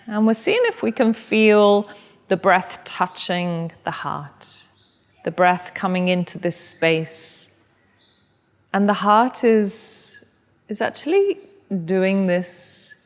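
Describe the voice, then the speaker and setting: A woman who teaches yoga, leading a guided meditation in a soft, gentle, unhurried way. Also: medium pitch, fairly even intonation, big-room sound. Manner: soft, slow and gentle guidance.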